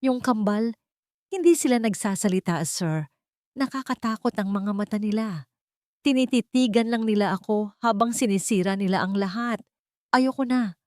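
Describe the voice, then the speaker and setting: A woman's voice: normal speed, darkish tone, no echo, studio quality, lightly acted. A woman voicing a frightened, hysterical nanny who pleads to quit, in an audiobook drama. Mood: pleading, fed up. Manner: shrill, pleading, near breaking.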